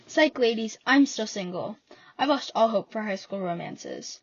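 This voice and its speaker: A woman's voice: medium pitch, animated, unhurried, lo-fi sound. A teenage girl reading her own personal essay aloud in a playful, wry way, as a voice-over.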